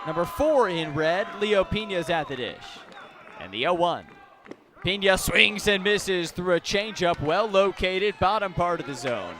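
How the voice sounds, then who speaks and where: A man calling baseball play-by-play live on the radio, phrasing deliberately and swelling on the big plays. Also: sits high, lively intonation. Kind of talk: live radio sports commentary. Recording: noisy outdoor ballpark with crowd. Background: ballpark crowd noise.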